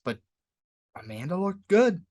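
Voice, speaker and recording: A man with a somewhat high voice co-hosts a casual sports podcast. He talks like a hyped fan, hammering key words by repeating them as he previews and breaks down fights. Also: big pitch swings, unhurried, darkish tone. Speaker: podcast co-host.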